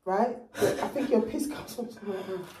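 A young woman, vlogging in loud, laughing banter full of swearing. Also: medium pitch, moderate pace, reverberant, recorded from a distance. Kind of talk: casual chat.

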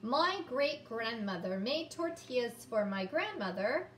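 A woman in her fifties teaching young children, sounding out words and reading aloud in a deliberate, encouraging way. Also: fairly high pitch, very animated. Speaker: early-grades teacher. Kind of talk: children's phonics lesson and read-aloud. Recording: roomy, distant mic, background noise.

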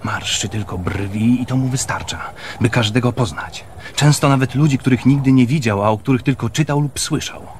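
An actor playing an earnest, eager messenger in a radio drama, giving a careful, detailed report. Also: male voice, mid-range voice, normal speed, a little hiss or hum, natural voice. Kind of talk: Polish radio theatre acting.

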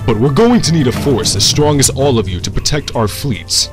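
A man voicing a self-important, blunt town leader and trader in a comic game parody dub, speaking in a deliberate, pompous way. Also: medium pitch, expressive, natural voice. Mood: businesslike. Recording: music under the voice.

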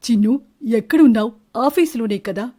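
A woman's voice: a little high, moderate pace, warm tone, pitched below the performer's own voice, natural voice. Woman voicing a brash, jealous young man. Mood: insistent. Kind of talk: dramatised cartoon moral story.

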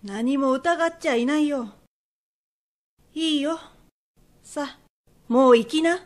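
A woman plays a proud Edo shopkeeper's wife in a radio drama. She has a high voice and a firm, matronly, old-fashioned way of speaking, stern to the core. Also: takes it slowly, a little mellow. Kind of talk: period radio drama acting.

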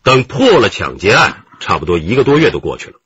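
An actor playing a genial, modest middle-aged detective chief who reminisces in a chatty, deliberate way in a radio drama. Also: male voice, fairly low pitch, sing-song, fairly bright tone, husky, dry recording, full character voice. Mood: animated recounting. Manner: chatty, deliberate, with drawn-out interjections. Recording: muffled, dull radio drama recording.

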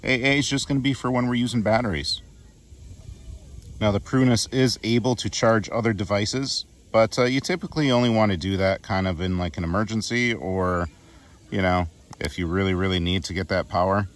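A man's voice: fairly low pitch, fairly quick. A man giving a casual, playful hands-on product demo in a chatty, informal way. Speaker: product reviewer.

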